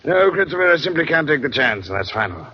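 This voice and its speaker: A man's voice: fairly high pitch, sing-song, fast. An actor playing a firm, fatherly older ship's captain in a vintage radio drama. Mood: adamant, apologetic. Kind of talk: 1940s-50s American radio drama acting. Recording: muffled, noisy old radio broadcast.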